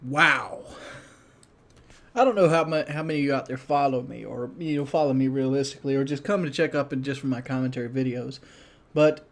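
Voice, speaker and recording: A man giving casual, rambling game commentary as a YouTuber, blunt and monotone, with frequent restarts. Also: medium pitch, fairly quick. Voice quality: self-described monotone.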